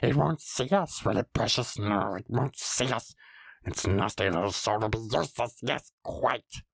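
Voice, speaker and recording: A man's voice: fairly low pitch, moderate pace, creaky, husky, no room sound. A man voicing a slimy, greedy, ancient male creature that hisses and mutters to itself. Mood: whispering, scheming. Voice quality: hissing, whispery. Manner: wheedling, muttering to himself.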